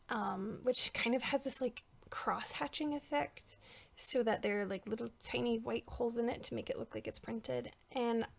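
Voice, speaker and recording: A woman's voice: on the high side, creaky. A woman giving casual, informal behind-the-scenes commentary on her drawing, with a self-deprecating manner. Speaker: portrait artist and voice actor for a fan manga dub.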